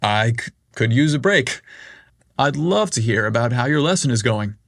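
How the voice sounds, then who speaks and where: A man's voice: mid-range voice, expressive, normal speed, creaky, a bit bright, no room sound, studio quality. A man doing a stammering, polite young lawyer. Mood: hesitant. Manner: hesitant, stammering.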